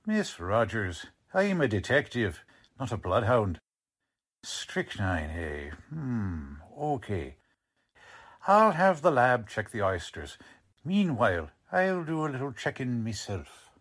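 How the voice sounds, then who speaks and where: An actor playing a gruff, bossy police lieutenant with tough-guy slang and brusque wisecracks, in 1940s radio drama style. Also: mid-range voice, very animated, slow pace, a bit bright, heavily acted. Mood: surprised, gruff. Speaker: police detective character.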